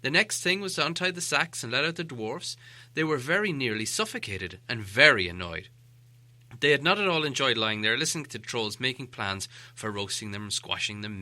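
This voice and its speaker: A male audiobook narrator tells a story with theatrical flair. His voice is very bright and forceful, with animated pitch movement, and the sound is close and dry. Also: no room sound. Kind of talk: expressive scripted storybook narration.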